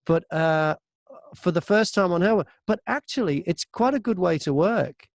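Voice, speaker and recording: A man's voice: sits high, lively intonation, moderate pace, creaky, no echo, clean studio sound. A man talking casually as an interview guest, telling stories about his work as an audiobook narrator and acting out remembered exchanges.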